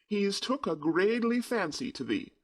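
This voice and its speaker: A man's voice: sits high, big pitch swings, moderate pace, voice pushed up. A man doing a broad Yorkshire country lad. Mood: cheerful. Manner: broad dialect, eager and warm. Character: cheerful Yorkshire country boy.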